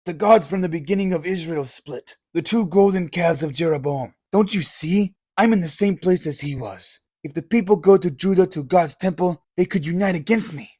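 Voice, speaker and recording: A man's voice: high-pitched, moderate pace, hardly any pauses, darkish tone, breathy edge. A man voicing a ruthless, scheming, proud king who speaks in a commanding, declamatory way in an audio drama. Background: music.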